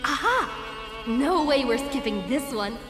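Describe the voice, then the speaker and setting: Cheeky, animated young adventurer. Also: female voice, fairly high pitch, takes it slowly, echoey room, long reverb tail, over music. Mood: teasing. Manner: playful, lively. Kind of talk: English video game voice acting.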